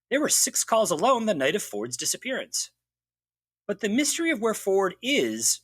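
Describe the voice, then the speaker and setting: A man's voice: on the high side, a bit bright, husky, no room sound. A man hosting a podcast, giving a wry, jokey recap of a TV mystery episode. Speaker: podcast host. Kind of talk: scripted recap narration.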